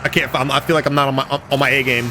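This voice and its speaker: A man casually commenting over game footage, animated and profane, talking straight to his viewers, his voice going a little hoarse. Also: medium pitch, racing, background noise. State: losing his voice. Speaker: gaming commentator.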